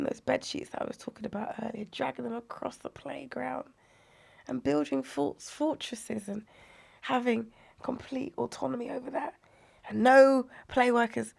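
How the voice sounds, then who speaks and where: A woman, unwell and croaky, animatedly recalling her childhood as a podcast guest, often dropping to a breathy near-whisper. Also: a little high. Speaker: guest, inclusive play advocate. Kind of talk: conversational podcast interview.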